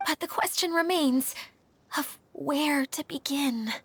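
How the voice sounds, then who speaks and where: A woman's voice: sits high, slow pace, very noisy. A woman voicing an anxious, polite young female caretaker in a video game, speaking formally and hesitantly. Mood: hesitant, downcast.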